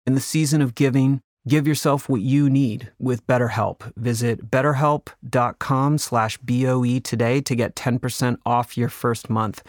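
A man's voice: mid-range voice, no echo. Conversational man, dry close podcast read. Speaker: show creator reading a sponsor message. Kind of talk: casual, personal podcast ad read.

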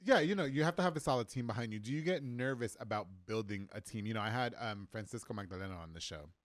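A man in his thirties talks quickly on a close, dry mic as a podcast host. He is brash and jokey, swears freely, and keeps the chat casual. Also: mid-range voice, no echo. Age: 30s. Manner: brash, profane, joking. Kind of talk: casual chat, interviewing a guest. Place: small room, indoors. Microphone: close studio podcast mic.

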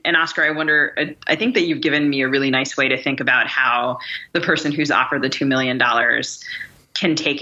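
A woman's voice: extremely low pitch, pushed and bright, dull audio. A woman hosting a podcast conversation in a reflective, thoughtful way, with long winding sentences. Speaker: podcast host.

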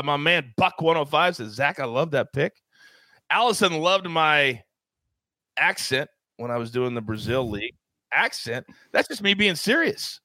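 A man hosting a sports betting show, hyped and playful, with big swings in pitch. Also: pushed and bright, dry recording. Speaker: host.

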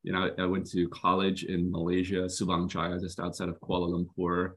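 A man in his fifties from Nepal, raised in Southeast Asia, a physician and health executive guest telling his story in an earnest, unhurried way, laughing easily. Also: low-pitched, completely flat delivery, a bit bright. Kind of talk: conversational interview storytelling.

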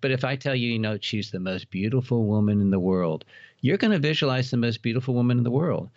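A man's voice: medium pitch, lively intonation, quick pace, a little mellow. A man speaking as an interview guest, explaining his opinions in a casual, conversational style. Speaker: interview guest, author and horror enthusiast. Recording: dull, roomy, echoing lo-fi sound.

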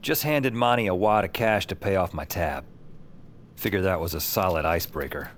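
A man's voice: deep voice, normal speed, a little hiss or hum, lightly acted. An actor playing a wry, world-weary adventurer who narrates his own story in an audio drama with slangy lines. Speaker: narrator and lead character. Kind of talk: first-person scripted narration in an audio drama.

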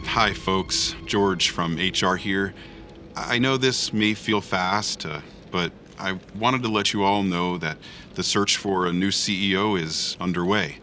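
A man playing an earnest, flustered human resources manager in an audio drama, halting and official. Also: low voice, pushed and bright, faint music behind. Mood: awkward, official. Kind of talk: audio fiction acting.

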